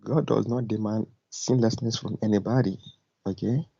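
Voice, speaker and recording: Male caller, dark gravelly voice, firm formal explanations, debate, muffled lo-fi line. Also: fairly low pitch, steady tone, normal speed, dry recording. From Nigeria. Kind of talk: argumentative live debate. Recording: muffled lo-fi call, dry, clicks.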